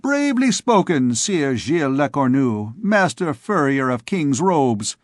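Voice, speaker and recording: A man's voice: high-pitched, no room sound, studio quality, voice pushed up, natural voice. A man voicing an impish young male student who teases and boasts in an old storybook tale. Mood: mocking. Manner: teasing, quick and boastful.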